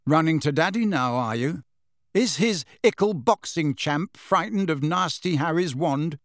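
A man performs a teenage boy wizard from a storybook, sarcastic and defiant. His pitch sits fairly high and moves about freely as he taunts. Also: expressive, unhurried, no echo, studio quality. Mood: taunting.

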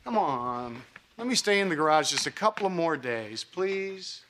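An actor playing a sheepish, pleading middle-aged ex-husband in a sitcom. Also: male voice, sits high, moderate pace, bright, forceful tone, a little hiss or hum. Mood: defensive, pleading. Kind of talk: broadcast sitcom acting.

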